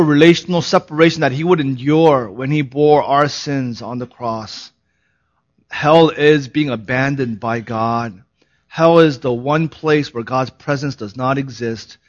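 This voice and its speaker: A man who is a pastor giving a sermon, hoarse in his voice, moving from a laughing, relaxed opening into earnest, solemn preaching. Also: a little high, muffled recording.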